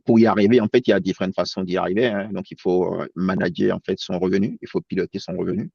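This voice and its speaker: A man's voice: low voice, steady tone, fast, gravelly, husky, no room sound. A man who grew up in France, presenting as an accountant in a webinar over a video call, hesitant and full of fillers and restarts. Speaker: accountant guest. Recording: dull lo-fi sound.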